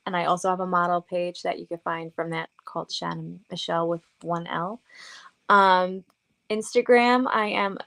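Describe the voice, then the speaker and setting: A woman's voice: mid-range voice, fairly bright tone. A woman with a Long Island accent chatting casually as a show guest, in a playful, self-deprecating way. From Long Island, New York. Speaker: podcast guest, model and horror hostess. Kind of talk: casual chat.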